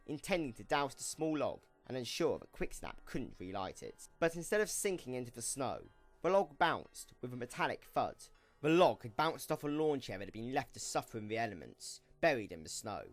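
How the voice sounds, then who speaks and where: Male narrator for an audio drama: expressive, dramatic read of scripted story over background music. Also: mid-range voice, brisk.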